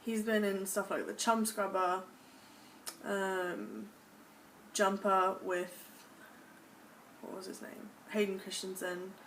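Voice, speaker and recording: Young woman, American putting on a British accent, gushing, chatty vlog, mid-pitched voice, slightly distant mic, faint background hiss. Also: flat delivery, takes it slowly, pauses often, fairly bright tone. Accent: put-on British accent. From United States. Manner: gushing, enthusiastic. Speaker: vlogger. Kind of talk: casual talk to camera.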